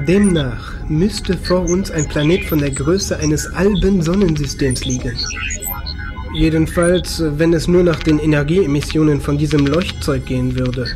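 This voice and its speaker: A man's voice: on the high side, unhurried, over music, close to an everyday voice. A man playing a wry, matter-of-fact spaceship pilot in a science fiction audio drama, with a dry reporting manner. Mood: dry, uneasy.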